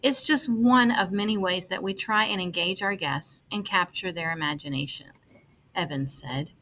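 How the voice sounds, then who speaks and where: A woman with a somewhat low voice narrates a written article aloud. Her phrasing is measured and deliberate. A faint noise sits behind the recording. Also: pushed and bright. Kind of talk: scripted read-aloud narration.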